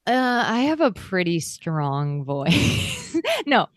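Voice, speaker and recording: A woman's voice: medium pitch, sing-song, takes it slowly, no room sound. A middle-aged woman interviewed as a guest, talking in a chatty, reflective way, with fillers and frequent laughs. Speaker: guest, copywriter. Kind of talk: conversational interview.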